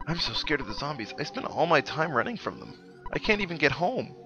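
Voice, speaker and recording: A man's voice: a little high, sing-song, fairly quick, bright, forceful tone, muffled recording, faint music behind, voice pushed up, heavily acted. A man voicing a frightened, plaintive townsperson from a video game who is fleeing zombies. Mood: scared, plaintive. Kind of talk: video game character voice-over.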